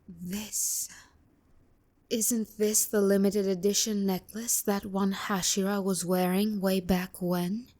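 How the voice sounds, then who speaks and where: Casting: actress as taunting female demon for romantic ASMR roleplay, unhurried and dark-toned, sharp tongue, breathy whispered asides. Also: medium pitch, close to an everyday voice. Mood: touched, disbelieving. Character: haughty, teasing, flustered female demon, bitter romantic rival. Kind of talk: ASMR roleplay, intimate character acting.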